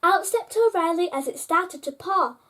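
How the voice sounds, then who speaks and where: Female storyteller with a high-pitched voice for children's bedtime picture books, engaging young listeners directly. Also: expressive, moderate pace, a bit bright. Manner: addresses child listeners with prompting questions. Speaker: host reading children's picture books aloud.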